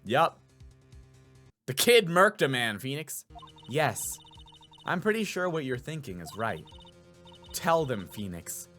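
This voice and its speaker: Man voicing a calm, knowing female mentor. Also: mid-range voice, takes it slowly, pauses often, faint music behind, full character voice. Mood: calm, encouraging.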